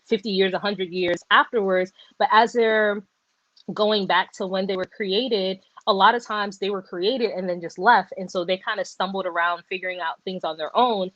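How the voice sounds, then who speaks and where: A woman chatting casually about a book on a live stream, rambling and animated with lots of fillers and restarts. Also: medium pitch, moderate pace, no echo. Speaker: book discussion co-host. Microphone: video call. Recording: dull, dry lo-fi sound.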